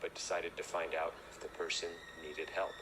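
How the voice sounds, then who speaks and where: Really low male voice reading a scary story, scripted narration, noticeable noise behind it. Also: little pitch movement, moderate pace. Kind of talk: scripted first-person horror narration. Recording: noisy recording.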